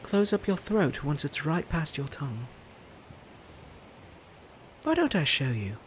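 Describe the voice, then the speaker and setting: An actor playing a suave, smug, flirtatious prince in a romantic roleplay audio drama, teasing and haughty with a sinister edge. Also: male voice, fairly high pitch, very animated, a little slow, a little hiss or hum. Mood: silky, menacing. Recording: music under the voice.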